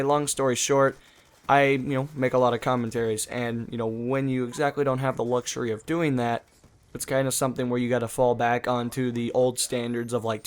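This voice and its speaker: Young man, gaming channel host, casual commentary, rambling, lots of fillers, mid-pitched voice. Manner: rambling, filler-heavy chatty talk.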